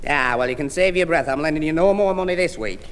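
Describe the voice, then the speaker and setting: A man's voice: fairly high pitch, quick pace, natural voice. A man playing a bashful, gormless young suitor who stammers and dithers in a Northern English accent in a 1960s radio sitcom. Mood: bashful, flustered. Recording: echoey hissy vintage radio recording.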